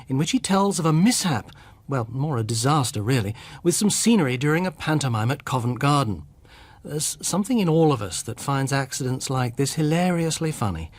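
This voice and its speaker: A man's voice: medium pitch, no echo. A man presenting scripted narration in a conversational storytelling way, speaking directly to the listener.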